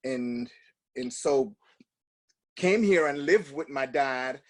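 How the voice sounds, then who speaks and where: A man's voice: medium pitch, expressive, slow. Jamaican man telling his story. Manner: reflective, storytelling with frequent restarts and fillers. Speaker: guest. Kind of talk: casual interview talk.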